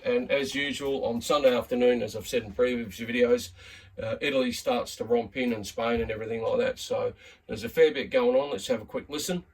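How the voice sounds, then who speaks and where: An Australian man giving a casual, unscripted demonstration as a radio hobbyist, rambling and hesitant in a laid-back way. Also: medium pitch. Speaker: radio hobbyist presenting a video. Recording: distant mic, slightly muddy room sound.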